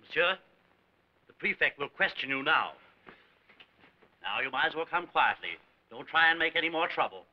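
An officious middle-aged gendarme with lively, swinging intonation. He is unbending and formal. The sound is a muffled 1930s film soundtrack. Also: a little high, moderate pace, long pauses. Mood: commanding.

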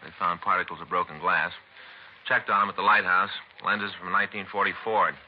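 An actor playing a practical, plain-spoken police detective in a 1950s radio drama, with clipped delivery. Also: male voice, sits low, little pitch movement, a little fast, lightly acted. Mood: matter-of-fact. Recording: dull 1950s radio recording, faint noise.

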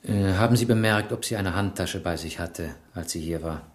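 Male actor as police inspector, radio play, fairly deep, polite, calm, probing. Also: natural voice. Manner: polite, measured, inquisitive. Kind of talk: German radio play acting.

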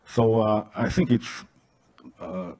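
Male panelist, low voice, halting English, handheld mic. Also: darkish tone. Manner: halting, many fillers and restarts. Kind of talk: answering a panel question.

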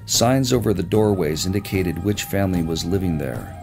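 A man narrates a travel documentary in a steady, measured voice-over. His voice sits very low with a gravelly fry at the edges. Loud music plays under him.

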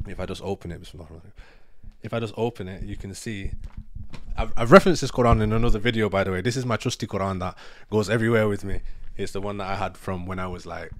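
A man's voice: fairly low pitch, no echo. A man in his thirties, a native Urdu speaker, chatting casually and reflectively to camera in a rambling, self-correcting way. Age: 30s. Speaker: vlogger. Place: living room sofa at home. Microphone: handheld microphone held close.

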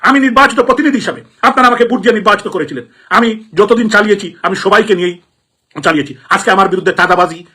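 A man's voice: sits high, fast talker, pushed and bright, husky. A Bangladeshi man from Sylhet, a politician giving an impassioned political address in an emphatic, repetitive, indignant manner. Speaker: politician, elected representative.

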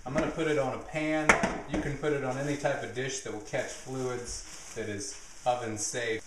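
A man in his thirties hosting a jokey home cooking video in his kitchen, rambling with deadpan asides, recorded on a nearby camera mic. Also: medium pitch, normal speed, roomy sound, heavy background noise. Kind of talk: jokey mock cooking show. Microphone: camera mic, handheld or nearby.

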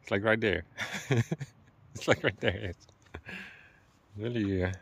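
A man's voice: on the low side, unhurried, fairly bright tone, husky. A man whose first language is Dutch, chatting playfully while walking in a forest and searching for English words. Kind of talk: casual chat. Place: outdoors in a forest.

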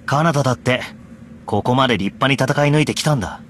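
A man's voice: medium pitch, a little fast, a bit bright, a little hiss or hum, lightly acted. A man voicing a young knight in an anime game, blunt and sardonic, exasperated but caring. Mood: wry, reflective. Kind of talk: Japanese anime game voice acting. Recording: light background music.

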